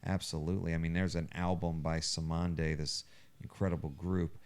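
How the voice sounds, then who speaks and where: A man with a very low, smooth, resonant voice hosts a casual podcast. He talks earnestly and thoughtfully, working out his phrasing as he goes. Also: level tone, moderate pace, darkish tone. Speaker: podcast host.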